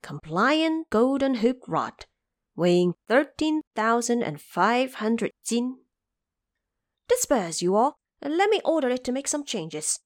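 A woman's voice: on the high side, very animated, slow, dry recording, studio quality. A woman voicing a boastful, gleeful male Monkey King in an audio drama, proud and showy with a commanding streak. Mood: boastful, pleased. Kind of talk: audio drama character acting.

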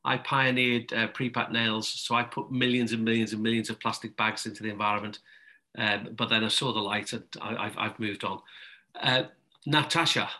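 A man's voice: lowish voice, fairly even intonation, moderate pace, sharp tone. A man hosting a webinar panel, friendly and joking, with loosely phrased questions. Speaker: webinar host. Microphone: video call.

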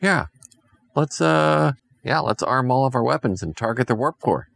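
A man voicing an excitable, unhinged male space crewman in a bedtime story, talking in a jittery rush. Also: mid-range voice, normal speed, creaky, pitched above the performer's own voice, exaggerated voice. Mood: frantic.

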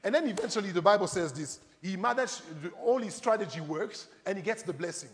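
A man delivers a sermon in a notably high voice. He talks conversationally to his listeners, posing questions and retelling scripture as stories. Long reverb from a large hall trails behind his words. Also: expressive, a little fast. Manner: conversational, rhetorical questions, addresses congregation directly. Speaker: preacher. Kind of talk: animated sermon with acted-out Bible stories.

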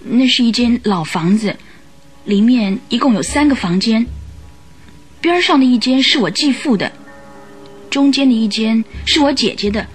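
A woman's voice: fairly high pitch, expressive, moderate pace, long pauses, breathy edge. An actress playing an anxious young woman who recounts events earnestly in a radio drama. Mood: grave, recounting. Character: anxious young woman client recounting her sister's death. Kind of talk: Mandarin radio drama acting. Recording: radio drama with music behind.

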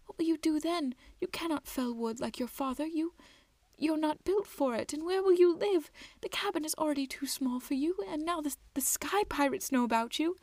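A woman voicing a mother troll who speaks softly and haltingly. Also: a little high, dry recording. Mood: tearful. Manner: soft and halting. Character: tender, weeping mother troll.

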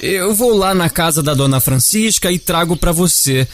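An actor with a Brazilian accent playing a friendly, caring Easter bunny who explains things patiently in a children's audio drama. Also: male voice, a little high, animated, moderate pace, slight background noise. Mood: patient, explaining. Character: friendly, caring male Easter bunny, gentle and civic-minded.

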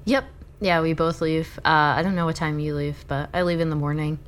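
A woman co-hosting a podcast from her apartment, sick, with her voice cracking and deeper than usual, chatting in a relaxed way. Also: a little fast. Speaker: podcast co-host. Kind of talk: casual podcast chat.

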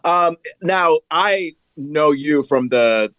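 A man's voice: a little high, expressive, extremely slow, fairly bright tone, no echo. A man in his thirties hosting a podcast, asking questions in an eager, fan-like way with hesitant fillers. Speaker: podcast host. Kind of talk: casual interview questions.

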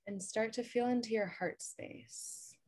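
A woman podcast co-host leading a calm guided meditation with spoken instructions. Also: fairly high pitch, a little slow, no room sound.

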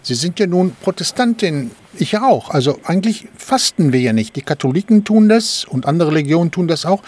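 A man's voice: on the high side, very animated, a little fast, a little mellow. A male radio interviewer asking conversational questions, sometimes hesitating mid-sentence.

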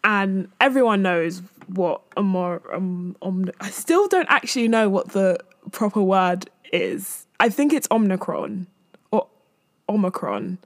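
Woman, 20s, podcast host. Candid, self-amused, casual rambling delivery at a mid pitch, recorded close and dry. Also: sing-song, measured pace, no room sound. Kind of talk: casual chatty solo podcast.

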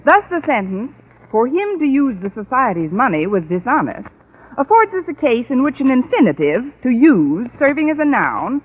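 An actress playing a wry, articulate English teacher with precise diction, in old-time radio comedy style. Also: female voice, high-pitched, very animated, normal speed, full character voice. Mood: patient, lecturing. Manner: crisp schoolmarm diction, dry wit. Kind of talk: 1940s-50s radio sitcom acting. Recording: muffled old radio recording.

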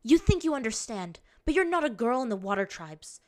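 A woman's voice: mid-range voice, sing-song, brisk, natural voice. A woman voicing a fierce, bitter young waterbender woman in an audiobook-style story, proud and snapping. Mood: bitter, hurt. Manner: snapping, snarling delivery.

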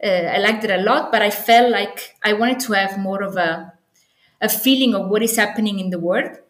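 The room rings around this woman's mid-pitched voice. She has an Italian accent and talks conversationally as an interview guest. She is enthusiastic, yet keeps pausing and rephrasing as she goes. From Naples, Italy. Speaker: podcast guest, assistant professor. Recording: echoey, roomy sound.

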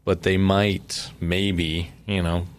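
A man who is a pastor hosting a podcast, talking in a relaxed, rambling way. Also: sits low, slow, slight background noise. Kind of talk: casual chat.

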